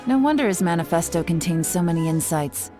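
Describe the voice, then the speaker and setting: A woman's voice: fairly low pitch, lively intonation, a little fast, warm tone, faint music behind, close to an everyday voice. A woman playing a shrewd, commanding spymaster in a video game, composed and authoritative. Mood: cool, curt. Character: cool, shrewd, commanding adult female spymaster. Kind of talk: video game character dialogue.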